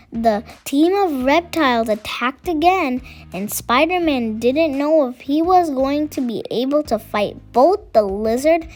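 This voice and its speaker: A woman reading a storybook aloud to children in an expressive way, talking directly to young listeners. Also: high voice. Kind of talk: read-aloud storytelling with chatty asides to children. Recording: music behind the voice. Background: music.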